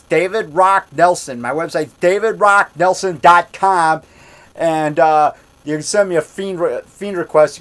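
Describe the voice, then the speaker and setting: A man in his fifties tells a long, wandering story in a loud, fairly high voice. He circles back and repeats himself, growing more worked up as he talks. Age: 50s. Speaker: monster movie maker telling a story. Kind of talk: casual storytelling monologue.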